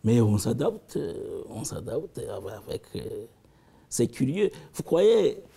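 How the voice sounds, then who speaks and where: Guy in an interview, gravelly and husky, dark mellow tone, stops and restarts a lot. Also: medium pitch, very animated. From African Great Lakes region. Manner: thoughtful, halting, with frequent restarts and warm asides. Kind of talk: reflective interview answers.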